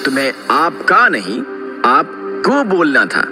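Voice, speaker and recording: A man playing a patient father who narrates a children's moral story in a measured, instructive way. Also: on the high side, huge pitch swings, long reverb tail, music in the background, heavily acted. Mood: correcting.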